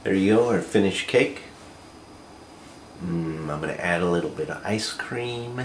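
A North American man with a low voice talks through cooking steps as an amateur host. His delivery is plain and unhurried. He is recorded on a camera mic in a roomy kitchen, with clear background noise. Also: lively intonation. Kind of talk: casual step-by-step cooking demonstration. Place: home kitchen, indoors. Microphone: camera microphone, a short distance away.

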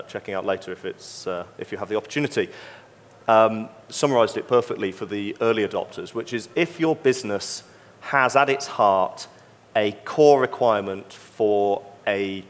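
A middle-aged man speaking as a consultant in an unscripted conference panel discussion, measured and careful to qualify his points. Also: lowish voice. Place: on stage in a large conference hall. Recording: reverberant conference hall.

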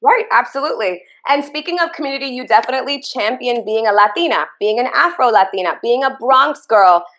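A woman in her thirties from Trinidad, hosting an interview over a video call from a home office with lively, chatty enthusiasm. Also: on the high side, fairly quick, hardly any pauses. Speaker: interview host.

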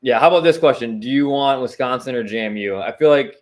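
A man's voice: medium pitch, fast. A young man co-hosting a sports podcast over a video call, easygoing and hedging, laughing at himself as he gives his take. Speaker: podcast co-host. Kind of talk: casual sports chat.

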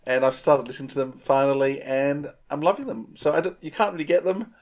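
A man's voice: fairly high pitch, steady tone, brisk. A middle-aged man co-hosting a podcast over a video call, casually and emphatically recommending things he enjoys. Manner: enthusiastic, emphatic. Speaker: podcast co-host. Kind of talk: casual chat, enthusiastic reviewing. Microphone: earphones on a video call.

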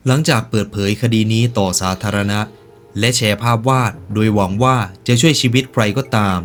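A man's voice: fairly low pitch, a little fast, a bit bright, soft background music. A man hosting a reaction video, commenting casually in slangy speech with joking asides and stretches of narration. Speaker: YouTube reaction host.

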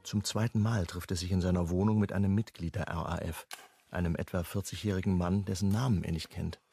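An actor playing a calm, reflective man who narrates his own story in a radio play. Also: low voice, fairly quick, creaky. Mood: reflective, matter-of-fact. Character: Marco Sentenza, calm reflective male narrator looking back. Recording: guitar music under the voice.

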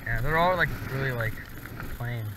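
A man's voice: mid-range voice, normal speed. A young American man chatting casually as a fishing vlogger, recorded outdoors on a small camera. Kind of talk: casual outdoor vlog chat. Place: outdoors beside a pond. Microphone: action camera worn or handheld. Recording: very noisy windy outdoor camera audio.

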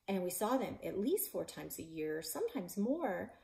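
A woman in her forties, a librarian and author, reading her children's novel aloud in a living room, voicing the characters with shouts and whispers. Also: lowish voice, sing-song, moderate pace, gravelly. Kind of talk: children's book read-aloud. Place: living room in front of a stone fireplace.

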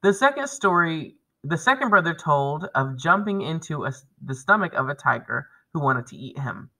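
Male schoolteacher, thirties, lively pitch movement and bright tone, explaining and reading to kids on a lesson video. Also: normal speed, creaky, rough recording. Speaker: elementary school teacher.

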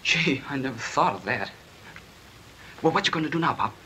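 A man's voice: mid-range voice, animated, moderate pace, sharp tone, a little hiss or hum. An actor playing an eager young man assisting his detective father in a 1930s film.

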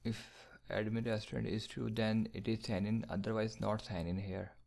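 Man, coding tutor voice-over: gravelly, flat delivery, slow step-by-step dictation of code. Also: lowish voice. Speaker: programming tutor.

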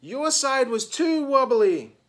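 Man voicing a stubborn schoolgirl, pitched up. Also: sing-song, takes it slowly, a bit bright, exaggerated voice. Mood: accusing. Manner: insistent, exclaiming. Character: stubborn, competitive schoolgirl builder in a storybook.

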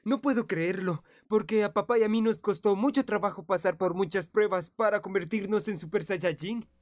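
A woman's voice: mid-range voice, normal speed, no room sound, clean studio sound, lightly acted. A woman voicing a kind, earnest teenage boy fighter in an anime fan dub, explaining things like a patient older brother. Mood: curious, patient.

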